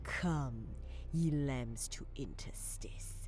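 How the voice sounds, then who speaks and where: An actress playing an eerie chaos witch, chanting dark incantations in a dramatic audio drama manner. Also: extremely low pitch, drawn-out words, heavily acted. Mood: eerie, hushed.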